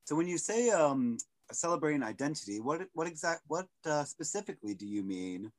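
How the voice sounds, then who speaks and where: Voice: mid-range voice, sing-song, fairly quick, no room sound. A host asking questions in a playful, joking, bawdy manner. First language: English. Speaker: podcast host. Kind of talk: casual interview.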